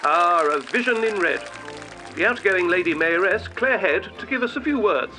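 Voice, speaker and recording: A man's voice: high voice, lively intonation, moderate pace, music in the background, crowd noise. A man playing a jaunty, pompous old-time newsreel announcer with flowery, tongue-in-cheek narration. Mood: jaunty, grand.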